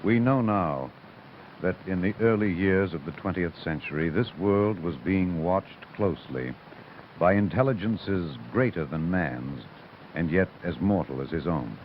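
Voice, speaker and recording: Portentous radio theater host, low voice with wide inflection, grave 1930s style. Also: male voice, lively intonation, measured pace. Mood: grave, ominous. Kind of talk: 1930s radio drama acting. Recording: muffled lo-fi old broadcast, noisy.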